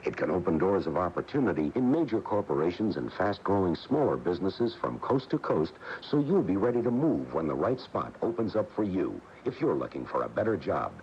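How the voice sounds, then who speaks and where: Deep American male commercial announcer. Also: big pitch swings, brisk, hardly any pauses. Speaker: advertising announcer. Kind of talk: scripted radio commercial. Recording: dull radio broadcast with faint noise.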